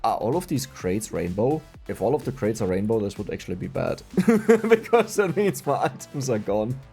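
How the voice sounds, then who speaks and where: A man's voice: fairly low pitch, very animated, moderate pace, creaky, warm tone, soft background music. A young man from Germany with a German accent, chatting casually and laughing at himself while commenting on a video game. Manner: chatty, self-mocking, laughs often. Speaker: gaming YouTuber.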